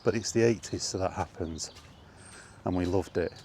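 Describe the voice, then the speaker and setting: Male vlog host, deep-pitched, conversational and casual, talking straight through with asides, faint ambient noise in the background. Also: normal speed, a little mellow. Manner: conversational, rhetorical questions and asides. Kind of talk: casual film chat monologue.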